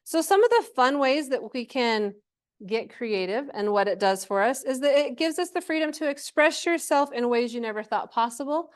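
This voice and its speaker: A woman's voice: high-pitched, animated, moderate pace. A woman giving an informal workshop presentation to a live group, chatty and encouraging, often checking in with questions.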